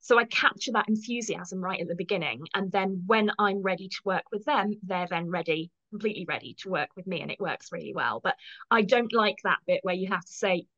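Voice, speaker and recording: A woman's voice: medium pitch, brisk, fairly bright tone, highs cut off. An English woman in her fifties with an English accent, chatting casually as an interview guest, friendly and self-deprecating with frequent ums. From England. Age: 50s. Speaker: guest, professional photo organizer.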